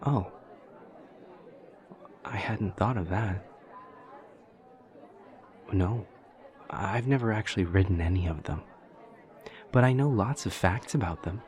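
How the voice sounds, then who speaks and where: Man acting as demon classmate, low-pitched, socially awkward, literal-minded, earnest, halting formal delivery, audio roleplay, faint crowd chatter behind. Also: darkish tone. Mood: eager, informative.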